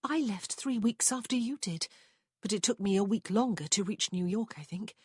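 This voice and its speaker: A woman's voice: medium pitch, moderate pace, slightly rough, dry recording, clean studio sound, voice pushed up, close to an everyday voice. A woman voicing an anxious young lady in love who speaks tenderly and breathily. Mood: confiding.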